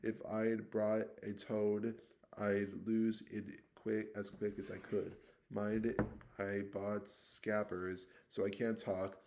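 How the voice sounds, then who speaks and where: A man's voice: on the low side, little pitch movement, takes it slowly, gravelly, a little mellow, a little hiss or hum. A man voicing a blunt, grumbling schoolboy wizard in a read-aloud story. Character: cheeky, scruffy schoolboy wizard.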